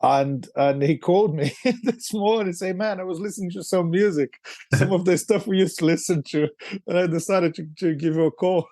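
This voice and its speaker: A man's voice: high-pitched, moderate pace, darkish tone, dry recording. A man from Brazil co-hosting a podcast in casual chat, hesitant with fillers and restarts. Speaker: podcast co-host. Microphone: video call.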